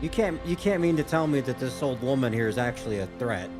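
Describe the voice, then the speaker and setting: A man's voice: mid-range voice, animated, fast talker. A man giving casual, off-the-cuff commentary as a reaction video host, rambling and thinking aloud. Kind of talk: casual off-the-cuff commentary while watching game cutscenes. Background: game cutscene audio and music.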